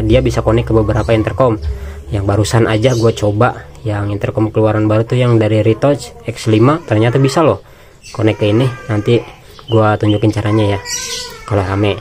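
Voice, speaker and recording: A man's voice: on the low side, steady tone, brisk, darkish tone. A man gives a casual, informal product walkthrough, explaining step by step and repeating phrases. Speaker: product reviewer and seller.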